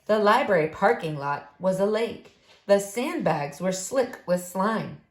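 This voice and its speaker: A woman reading a children's story aloud in an expressive, deliberate, dramatic way. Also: mid-range voice. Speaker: storybook reader. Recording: roomy, echoing room sound.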